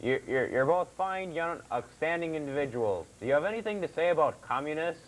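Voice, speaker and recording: A man hosting a comedy puppet segment, talking haltingly as he banters and tries to keep a straight face. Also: a little high, expressive. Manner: halting, breaks into chuckles. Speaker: host. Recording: noisy amateur TV recording.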